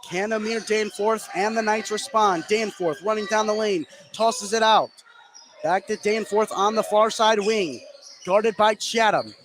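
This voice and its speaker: A man's voice: sits high, measured pace. A man giving live radio play-by-play of a basketball game from a noisy gym.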